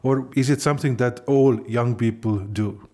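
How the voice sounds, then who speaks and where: A man hosting the episode with a mellow, warm tone. He narrates and asks his interview questions in a measured, gentle way. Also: mid-range voice. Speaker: podcast host and interviewer.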